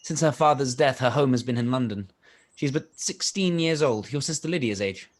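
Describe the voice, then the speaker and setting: A man playing an ingratiating young army officer in a period radio play, polite, measured and confiding. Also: mid-range voice, natural voice.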